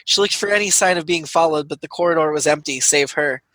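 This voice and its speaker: Bright, higher-pitched man reading a story aloud. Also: quick pace, no room sound. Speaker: comedy troupe writer reading own story. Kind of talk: reading a scripted comic erotic story aloud.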